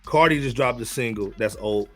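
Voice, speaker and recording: A man's voice: medium pitch, moderate pace, soft background music. A man hosting a casual hip hop panel chat, talking in a slangy, joking way. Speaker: podcast host.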